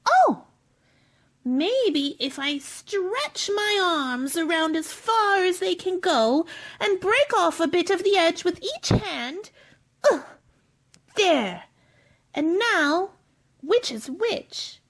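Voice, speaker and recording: A very high, swooping girl's voice, put on by a woman for a radio play. She is curious and polite, full of questions and exclamations, and quick to get exasperated. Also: lively intonation, fairly bright tone, full character voice. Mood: puzzled. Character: Alice, curious polite young girl, easily exasperated. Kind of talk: theatrical radio play acting.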